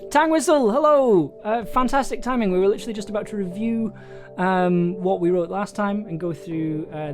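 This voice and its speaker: Casual, thoughtful man over background music. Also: on the high side. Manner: hesitant, thinking aloud, jokey. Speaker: streamer and audio drama writer. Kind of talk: casual livestream chat, reading aloud from own story draft. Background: chill soundtrack music.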